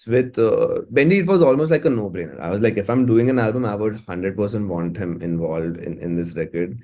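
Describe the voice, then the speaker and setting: Mellow Indian man, low-voiced. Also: expressive, fairly quick. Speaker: music producer, interview guest. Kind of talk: casual, conversational interview talk.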